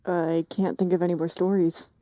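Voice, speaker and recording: A woman's voice: on the low side, flat delivery, normal speed, dark tone, no room sound. A woman streamer giving casual, playful, wry reactions while playing a game.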